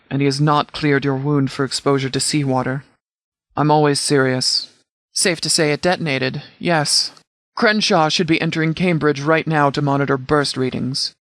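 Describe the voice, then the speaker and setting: A man playing a stern, formal sheriff in a video game, giving orders in a measured, authoritative way. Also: on the high side, a bit bright, dry recording, clean studio sound, close to an everyday voice. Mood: serious, measured. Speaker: sheriff and commander. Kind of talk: scripted game dialogue, mission briefing.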